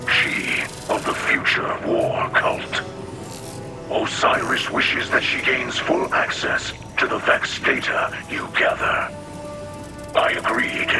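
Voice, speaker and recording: A man playing a solemn, devout alien elder in a video game, speaking formally and haltingly in a breathy rasp. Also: on the high side, exaggerated voice. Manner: formal, deliberate, halting phrasing. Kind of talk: cinematic video game dialogue. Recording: loud music behind the voice. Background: loud game soundtrack.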